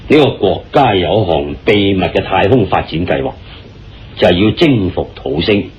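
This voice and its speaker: An actor playing an earnest, deliberate man confiding state secrets in a radio drama, with a Hong Kong Cantonese accent and dramatic phrasing. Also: low voice, sing-song, a little hiss or hum. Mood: explanatory. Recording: dull, muffled radio-style recording. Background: background music.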